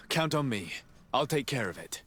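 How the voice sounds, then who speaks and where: An actor playing a composed, insistent noble legate in a video game, speaking formally and persuasively. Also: male voice, medium pitch, animated, measured pace, pushed and bright, slight background noise. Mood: concerned, resolute. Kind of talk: game cutscene acting.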